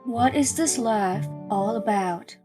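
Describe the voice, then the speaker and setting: A woman playing a stern, philosophical schoolteacher in an audio drama, with a measured, didactic delivery. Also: on the high side, music in the background. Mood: lecturing.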